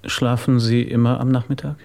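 An actor playing a businesslike police inspector in a German radio crime drama, calm, formal and questioning. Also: male voice, mid-range voice, moderate pace, vocal fry, close to an everyday voice. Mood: calm, probing.

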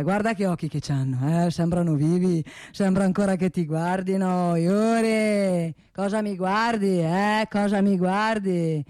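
A woman's voice: lowish voice, mellow, no echo, studio quality, close to an everyday voice. A woman playing an ageing, wealthy male boss in a comic radio monologue, self-satisfied and domineering, rambling and repeating with little questioning tags. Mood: scornful, jovial. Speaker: actor in a comic radio play.

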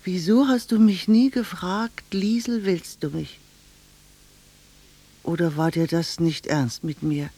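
An actress playing a blunt, wistful middle-aged village cashier in a German radio drama, speaking in a deliberate, intimate way. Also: female voice, fairly low pitch, steady tone, mellow, breathy edge, heavily acted. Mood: reproachful, sad.

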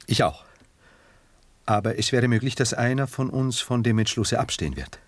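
A man acting in a 1960s German radio drama as a cultivated, coolly ironic gentleman who speaks with formal, measured, detached courtesy. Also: mid-range voice, lively intonation, no room sound, lightly acted.

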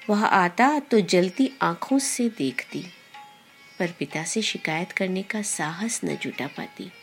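A woman narrates like a podcast storyteller, taking her time over each phrase. Her pitch sits in a middle range for a woman, and her delivery is measured and deliberate. Soft music plays behind her. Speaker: podcast host and story reader. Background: background music.

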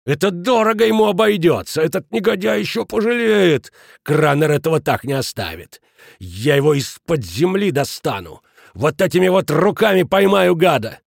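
A man voicing an enraged, blustering man who feels cheated, ranting in abrupt shouted phrases in an audiobook. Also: a little high, expressive, normal speed, gravelly, dry recording, studio quality, voice pushed up. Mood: vengeful rage.

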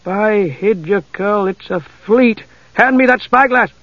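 A man's voice: very high voice, a little fast, creaky, a bit bright, no room sound, pitched above the performer's own voice, full character voice. A man reading an audiobook, voicing an uneasy harbor man who talks with tense urgency. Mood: alarmed. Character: uneasy harbor man, middle-aged, alarmed. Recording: dull lo-fi dry recording.

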